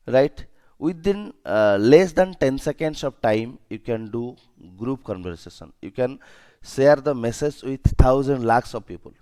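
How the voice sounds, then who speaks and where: A man's voice: mid-range voice, darkish tone, smooth. A man with an Indian accent giving an instructional lesson as a trainer, speaking emphatically, repeating key points and checking understanding with short questions. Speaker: safety and security trainer.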